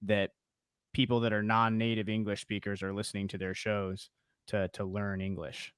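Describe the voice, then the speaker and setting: A man's voice: on the low side, monotone, normal speed, a bit bright, smooth, no echo, clean studio sound. An American man hosting a casual podcast interview, giving advice in a conversational way. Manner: advice-giving, conversational, many "you know"s. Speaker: podcast host.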